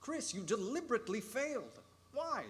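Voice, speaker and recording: An actor playing an earnest, loyal, worried lawyer who reasons urgently in a stage radio play. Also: male voice, extremely high pitch, sing-song, moderate pace, a bit bright, full character voice. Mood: concerned.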